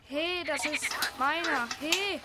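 A woman's voice: sits high, slow. A woman playing a timid, defensive young boy in an audio drama. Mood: shy, protesting. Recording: loud background noise.

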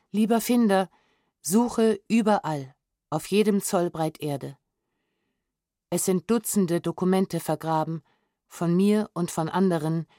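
A woman with a slightly low voice reads from a script for a documentary audio edition. She is sober and measured, articulating precisely, recorded very dry and close with no room sound. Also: warm tone, no echo. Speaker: reader of historical documents.

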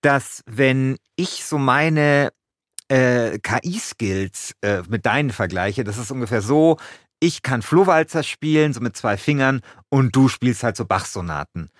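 A man's voice: mid-range voice, expressive, measured pace, no room sound, clean studio sound. A man hosting a podcast in German, with a wry, playfully grandiose way of telling things. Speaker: podcast host. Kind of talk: scripted narration and casual conversation.

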